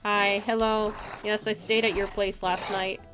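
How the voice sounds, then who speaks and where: A woman's voice: medium pitch, flat delivery, a little slow, over loud music, low-quality recording. A woman streaming a video game live with casual, chatty commentary, often muttering to herself and to game characters.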